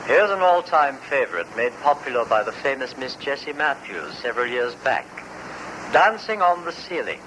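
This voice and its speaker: A male music announcer reads a scripted introduction with wide swings in intonation. The old radio broadcast is clearly noisy. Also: mid-range voice, big pitch swings, moderate pace.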